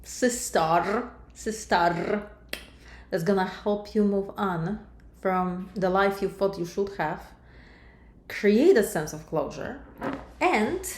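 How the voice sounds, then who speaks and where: A middle-aged woman with a Polish accent speaks as a life coach, addressing her listeners directly and fondly. Her pitch sits in an ordinary middle range. The recording carries a roomy echo. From Poland. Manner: warm, direct, addresses viewer as sister and my love. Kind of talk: coaching monologue to camera.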